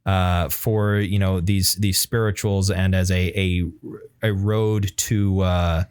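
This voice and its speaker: A man with a fairly low voice, recorded dry, talks casually as a podcast host. His delivery is halting and conversational, often pausing to hunt for the right word. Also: flat delivery, a little mellow, smooth, dry recording. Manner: halting, frequently searching for words. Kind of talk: casual music discussion.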